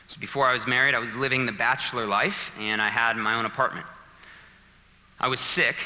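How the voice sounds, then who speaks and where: Bright-voiced preacher man in a hall. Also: mid-range voice. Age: 30s. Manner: storytelling, emphatic, rising to fervent appeals. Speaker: pastor. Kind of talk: sermon.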